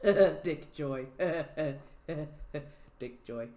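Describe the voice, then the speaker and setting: A woman's voice: deep voice, drawn-out words, mellow. Chatty woman streamer in a roomy echo. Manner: chatty, giggly, thinks aloud. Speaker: livestream host. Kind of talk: casual chat.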